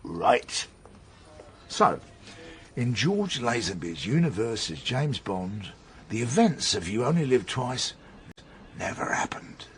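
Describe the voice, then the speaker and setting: A man's voice: mid-range voice, very animated, takes it slowly, long pauses, a bit bright. A British man playing an opinionated, wry middle-aged police detective in a radio drama, with an insistent, mock-pompous way of talking. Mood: pedantic, insistent. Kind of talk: naturalistic radio drama dialogue.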